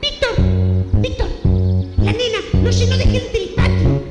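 A woman's voice: very high voice, a little slow. A woman playing an overbearing, effusive Argentine mother in improvised comic radio theatre, repeating her phrases insistently. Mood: anxious, insistent. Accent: Argentine Spanish. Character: overbearing, gushing mother of a grown daughter. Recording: echoey hall with loud music.

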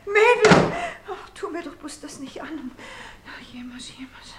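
An actress playing a sick, fretful, morbid wife in a radio drama, husky and breathy, with a Silesian accent. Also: female voice, high-pitched, lively intonation, a little slow, background noise. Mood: fretful, wailing. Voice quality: husky, breaking into breathy whispers. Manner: plaintive, calling out and lamenting. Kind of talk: naturalistic German radio drama acting.

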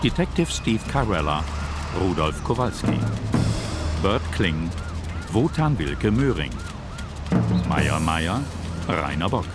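Male announcer for radio drama credits: very low voice, deliberate and formal, loud music underneath. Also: big pitch swings.

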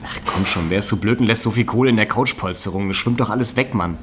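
A man's voice: fairly low pitch, fast, fairly bright tone, slight background noise, lightly acted. An actor playing a cocky, pushy young man with slangy, chummy talk in a naturalistic radio drama. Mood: pushy, excited. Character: cocky, slangy young hustler pushing loot on his friend.

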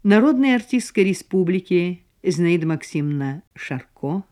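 A woman's voice: fairly low pitch, lively intonation, moderate pace, mellow. A woman hosting a radio programme in formal, scripted narration. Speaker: radio host.

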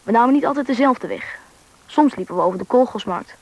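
A young performer playing a lively thirteen-year-old Russian boy who narrates and acts in a radio drama, with a slightly husky boyish voice. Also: medium pitch, a little fast, dry recording, close to an everyday voice, poor audio. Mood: reflective storytelling. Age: young teen. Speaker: main character and narrator of a radio play. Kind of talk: radio drama storytelling and dialogue.

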